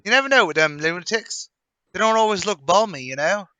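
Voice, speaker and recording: Radio drama: plain-spoken young working man man acting, chatty and colloquial, high-pitched, forward bright tone. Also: lively intonation, fairly quick, clean tone, dry recording. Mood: chatty, opinionated. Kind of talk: radio mystery drama table read.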